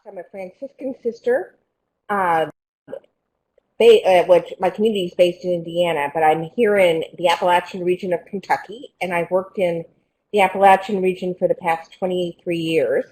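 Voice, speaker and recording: Plain-spoken middle-aged religious sister. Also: female voice, on the low side, steady tone, moderate pace, darkish tone, low-quality recording. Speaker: Franciscan sister. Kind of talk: panel self-introduction.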